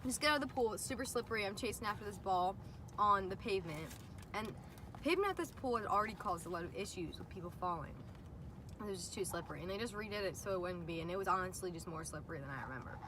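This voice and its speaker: A young woman telling personal stories in a casual, animated, giggly way while eating inside a parked car. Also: mid-range voice, fast talker. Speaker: guest vlogger. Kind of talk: casual chat and personal storytelling. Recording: distant mic with vehicle noise.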